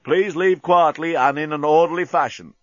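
A man voicing a cold, legalistic alien mercenary spokesman, harsh and booming, making a formal announcement in an audiobook. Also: moderate pace, no echo, highs cut off, close to an everyday voice. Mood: officious.

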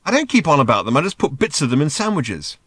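Earnest storybook man, played by a male reader, higher-pitched, insistent and explanatory, voice raised as if over a din. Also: very animated, fast talker, a bit bright, breathy edge, no room sound, exaggerated voice. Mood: exasperated. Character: earnest, matter-of-fact man raising his voice over a din.

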